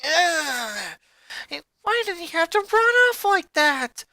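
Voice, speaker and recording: Someone putting on a bratty tired little princess, high voice, cranky and accusing. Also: sing-song, slow pace, sharp tone, no echo, exaggerated voice.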